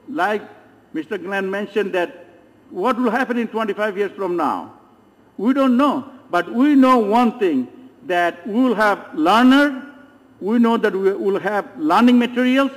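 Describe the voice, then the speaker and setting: Guy giving a conference talk, pretty high voice, big hall with long echo, earnest and emphatic. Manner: earnest, emphatic, some hesitations. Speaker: conference speaker, former professor. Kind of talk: conference presentation. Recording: long reverb of a large hall.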